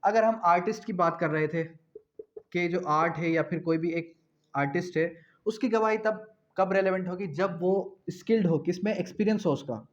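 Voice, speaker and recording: Higher-voiced Pakistani man lecturing, dull audio. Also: moderate pace. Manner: didactic, repeats phrases and asks himself questions. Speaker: law lecturer. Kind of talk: explanatory law lecture mixing in English terms. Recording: dull, lo-fi sound.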